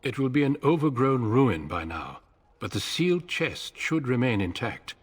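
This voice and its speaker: An actor playing a grave, composed commander of an ancient order in a video game, speaking formally and with measured authority. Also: male voice, medium pitch, big pitch swings, slightly rough, big-room sound.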